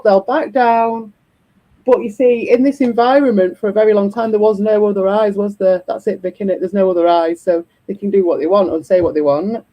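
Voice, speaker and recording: A man with a croaky, husky voice, hosting a stream in loose, rambling chat and swearing freely. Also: high-pitched, highs cut off. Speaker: streamer host. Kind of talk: casual chat.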